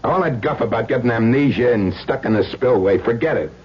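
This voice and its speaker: A man's voice: mid-range voice, animated, fairly quick, a little hiss or hum, natural voice. An actor playing a hardened, desperate ex-convict who talks in menacing tough-guy slang in a radio thriller. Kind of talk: old-time radio thriller acting. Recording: dull vintage radio recording.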